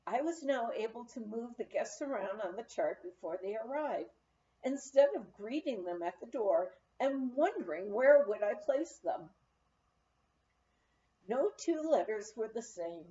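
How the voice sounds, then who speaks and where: High, animated woman reading a memoir. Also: unhurried, highs cut off. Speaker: audiobook reader. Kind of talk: narrated memoir reading, anecdotal storytelling.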